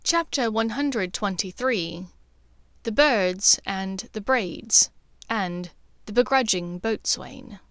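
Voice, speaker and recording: A woman's voice: medium pitch, slow, fairly bright tone, no echo. A woman reading scripted story narration as an audiobook narrator.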